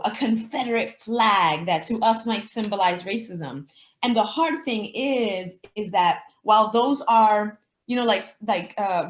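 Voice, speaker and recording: Echoey interview, woman educator, native Spanish speaker. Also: medium pitch. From Dominican Republic. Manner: explanatory, thinking aloud with rhetorical questions. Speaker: teacher and anti-racism educator, interviewee. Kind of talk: conversational interview answers. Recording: roomy, echoing sound.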